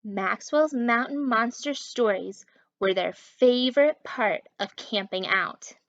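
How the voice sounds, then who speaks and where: Slow, high-voiced woman reading to children. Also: lo-fi sound. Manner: animated, encouraging, talks directly to young listeners. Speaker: children's story time reader and literacy teacher. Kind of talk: expressive read-aloud storytelling for young children.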